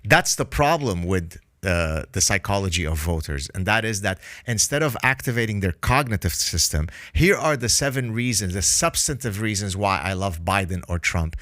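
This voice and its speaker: A man's voice: fairly low pitch, lively intonation, moderate pace, hardly any pauses, sharp tone, no room sound, clean studio sound. A man whose first language is Arabic, a podcast guest explaining ideas emphatically with vivid analogies. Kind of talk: podcast discussion.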